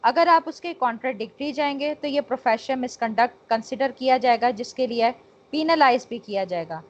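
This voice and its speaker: A woman's voice: fairly high pitch, lively intonation, moderate pace. A woman with a Pakistani accent giving an instructional law lecture point by point, mixing in English technical terms. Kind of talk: exam-prep lecture, explaining point by point. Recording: dull, lo-fi lecture recording.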